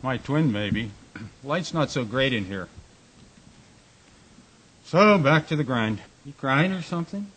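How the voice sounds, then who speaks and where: A man acting the part of a teasing, exasperated husband in a radio drama, with a rough-edged voice. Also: mid-range voice, animated, slow, long pauses, a bit bright, exaggerated voice, poor audio. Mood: teasing, probing. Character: teasing, exasperated husband who runs a bar.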